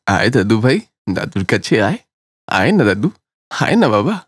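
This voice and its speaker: A man's voice: fairly low pitch, big pitch swings, moderate pace, husky, dry recording, studio quality. A man voicing a newly retired, forgetful grandfather in a cartoon story, bewildered and coaxing. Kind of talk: cartoon story character acting.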